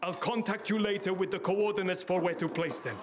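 A curt old inventor barks short orders, voiced by a man, his voice set fairly high for a man. He has no patience and speaks in blunt, clipped sentences. The game audio behind him is thick with background noise. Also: fairly quick, natural voice. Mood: commanding. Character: gruff older male inventor, curt and impatient. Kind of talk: video game cutscene acting.

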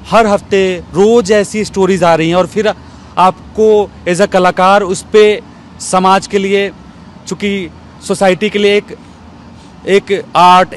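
A man in his thirties interviewing conversationally, with halting, reflective and roundabout phrasing. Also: high voice. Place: outdoors in an open courtyard. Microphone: handheld small microphone. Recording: faint outdoor street background noise.

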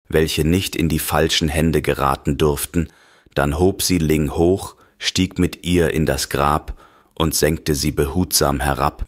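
A man narrating a scripted horror story in a grave, measured manner. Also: very deep voice, dry recording, clean studio sound. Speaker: audiobook narrator.

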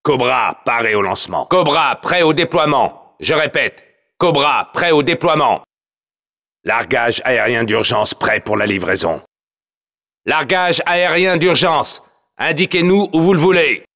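A man's voice: medium pitch, expressive, measured pace, sharp tone. An actor playing a commanding, battle-hardened male soldier who announces a multiplayer game in terse, clipped, authoritative callouts. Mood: commanding, focused.

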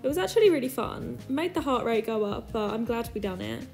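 A woman's voice: on the high side, lively intonation, a little fast, darkish tone, faint music behind. A woman in her twenties chatting informally about her holiday for a vlog. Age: 20s. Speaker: vlogger. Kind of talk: casual holiday vlog chat.

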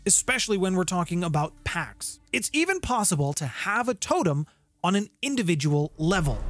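A man's voice: high-pitched, no room sound. A man in his thirties hosts a scripted explainer in a conversational way. He leans on key words and phrases things dramatically, often as questions to the listener. The sound is close and dry, with music underneath. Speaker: host of a tabletop game lore channel. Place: home music room. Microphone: large studio microphone close up.

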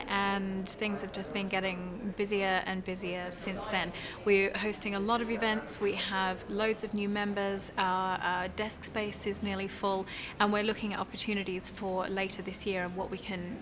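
A young woman gives an informal interview about her work as a company founder. Her voice sits at a middle pitch. Clear ambient noise from a large open office runs behind her. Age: young adult. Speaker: co-founder and chief executive of a start-up workspace.

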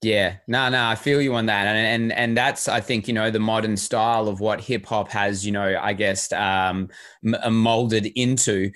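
A man's voice: fairly low pitch, steady tone, normal speed, a bit bright. A man hosting an interview from his home studio on a studio mic, asking long wandering questions full of "you know" and restarts. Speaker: podcast host. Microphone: studio microphone with headphones.

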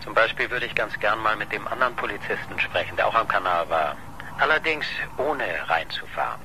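An actor in a radio crime drama playing a pushy, sardonic newspaper reporter who needles insistently. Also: male voice, lowish voice, lively intonation, fairly quick, sharp tone, slight background noise, exaggerated voice, low-quality recording. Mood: probing, insistent.

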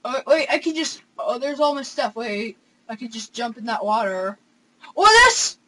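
A young man hosting a console game let's play from his room, excitable and gasping, with hesitant fillers. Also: very high voice, moderate pace, background noise. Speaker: gaming channel host. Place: home room with console screen.

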